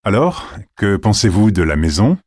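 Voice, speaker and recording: A man's voice: fairly low pitch, big pitch swings, normal speed, a little mellow, no room sound, studio quality. A man playing a polite, helpful everyday character in a scripted language-exam dialogue.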